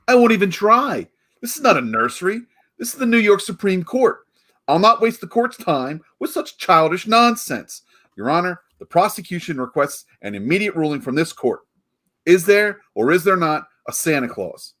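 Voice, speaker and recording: Actor as exasperated prosecutor, highish animated voice. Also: male voice, moderate pace, full character voice. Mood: indignant. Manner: indignant, emphatic. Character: exasperated, combative male prosecutor, family man. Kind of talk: 1940s radio play acting.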